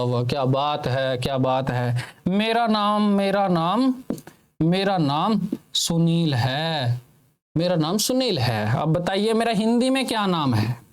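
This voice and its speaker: A male teacher gives a slow, unhurried lecture. His voice sits a bit high for a man. He repeats each point and keeps asking his students questions before he answers them. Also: clean tone.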